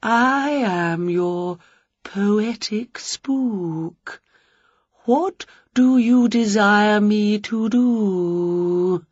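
A woman voicing a small, sensitive male ghost poet in a storybook, speaking slowly in a quivering, tearful, dramatic voice. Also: mid-range voice, no room sound, pitched above the performer's own voice. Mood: wistful. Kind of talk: storybook read-aloud. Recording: slightly dull dry recording.

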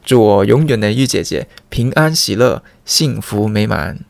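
A man's voice: on the low side, lively intonation, normal speed, gravelly, dry recording. A young man giving a heartfelt, sincere personal message in the manner of a radio presenter. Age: young adult. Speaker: radio programme presenter sending wedding wishes. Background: guitar music.

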